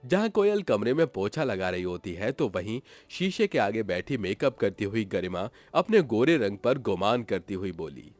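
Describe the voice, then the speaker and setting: Male narrator, animated delivery, dramatic family moral tale, soft music bed. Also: lowish voice, normal speed, few pauses, no room sound. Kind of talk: dramatic scripted story narration.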